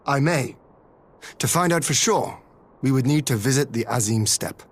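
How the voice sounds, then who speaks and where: Actor as a husky, eloquent male strategist. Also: mid-range voice, natural voice. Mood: pleased, wry. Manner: deliberate, eloquent, formal phrasing. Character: shrewd, theatrical male strategist, musing and confident. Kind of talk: video game cutscene acting.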